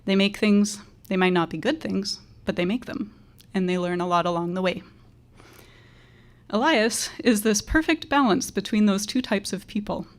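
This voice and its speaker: Quiet-voiced woman teacher, earnest tribute. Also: medium pitch, normal speed. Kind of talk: graduation tribute speech.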